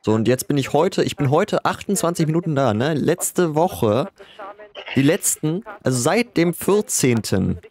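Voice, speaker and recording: A man's voice: fairly high pitch, sing-song, measured pace, a little mellow. A man playing a police officer in a game, chatting casually and a little grumpily about his shifts. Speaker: police officer player, streamer. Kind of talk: casual roleplay chat in a game.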